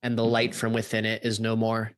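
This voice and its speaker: A man narrating an improvised drama as a podcast performer, with deliberate, grandiose phrasing. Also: fairly low pitch, monotone.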